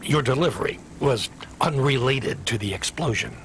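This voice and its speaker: A man's voice: mid-range voice, normal speed, fairly bright tone. An actor playing an evasive, officious delivery dispatcher in a sci-fi video game, hesitant in his talk. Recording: noisy vehicle ambience behind.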